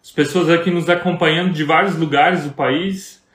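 An earnest Brazilian man co-hosting a talk on marriage, speaking in a preacherly way and asking question after question. His voice sits a little high for a man. The sound carries a roomy echo. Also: steady tone, normal speed, fairly bright tone. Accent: Brazilian Portuguese.

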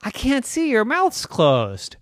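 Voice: mid-range voice, wildly swooping pitch, slow pace, no room sound, clean studio sound, pitched above the performer's own voice. An adult storyteller voicing an eager little girl who pleads and marvels in a bedtime story. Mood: eager, insistent.

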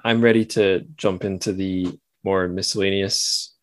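A man with a low voice hosts a casual interview podcast. He is playful and halting, often repeating a word as he gets going. The sound is close and dry, with hardly any room. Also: steady tone, no room sound. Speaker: podcast host.

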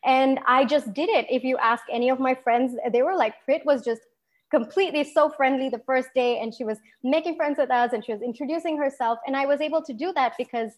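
A young woman from Singapore talks as an interview guest, her voice sitting a little high. She is lively and laughs easily, often restarting her sentences as she goes. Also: a little fast. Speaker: guest entrepreneur. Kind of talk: conversational podcast interview.

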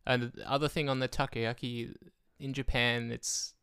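A young man, a guest, explaining practical travel details in a relaxed, casual way. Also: mid-range voice, normal speed, clean tone, no echo, studio quality.

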